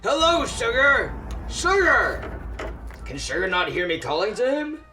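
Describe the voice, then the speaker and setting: Guy doing a crabby scrooge cat for a radio play, blustery, bright gravelly voice. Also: very high voice, sing-song, measured pace, slight background noise, full character voice. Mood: wistful excitement. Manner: theatrical, blustering. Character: grumpy, haunted old miser cat, Scrooge parody. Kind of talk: amateur radio play acting.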